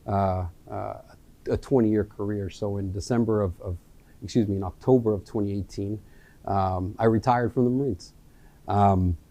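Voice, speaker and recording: A man in his forties from Miami, a retired Marine, introducing himself as a local election candidate in an earnest, halting way with many ums and restarts. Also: fairly low pitch, warm tone. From Miami, USA. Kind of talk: candidate introduction, casual speech. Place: local access studio.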